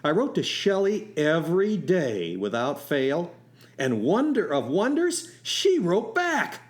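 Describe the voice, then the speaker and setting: A man playing wry, animated young storyteller, lightish voice. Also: a little high, slow, heavily acted. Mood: wry, nostalgic. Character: young male driving instructor turned soldier, eager, wry, romantic. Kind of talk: first-person radio storytelling with acted dialogue.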